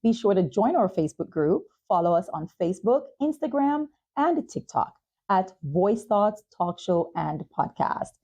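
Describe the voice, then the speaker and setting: A woman's voice: mid-range voice, very animated, unhurried, mellow, highs cut off. A woman hosting a talk show podcast with a polished presenter's delivery. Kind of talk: scripted sponsor reads and podcast interviewing.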